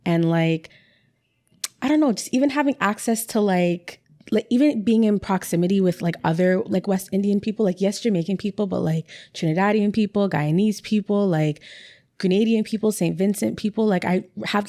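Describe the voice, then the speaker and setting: A woman's voice: mid-range voice, a little fast, warm tone. A young adult woman, a guest in a casual interview, talking in a chatty way with many "like" fillers and repeated words. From Canada.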